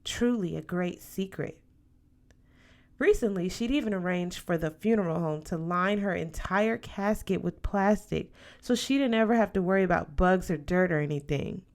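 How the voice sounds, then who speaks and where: A woman's voice: lowish voice, animated, moderate pace, few pauses, a little mellow. A woman reading a first-person story aloud as scripted audiobook narration. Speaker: audiobook reader.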